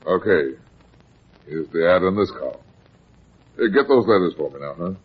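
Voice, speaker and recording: An actor playing a brusque, sardonic, wisecracking private detective in a vintage radio drama. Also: male voice, low voice, expressive, moderate pace, husky. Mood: businesslike. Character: hard-boiled, wisecracking male private detective. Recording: muffled vintage radio recording.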